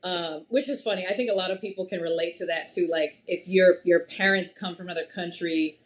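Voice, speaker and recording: A young woman from Massachusetts, a podcast guest, talking at length in an animated, storytelling way. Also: fairly low pitch, fairly quick. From Massachusetts, USA. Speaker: podcast guest, wealth strategist. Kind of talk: casual interview chat.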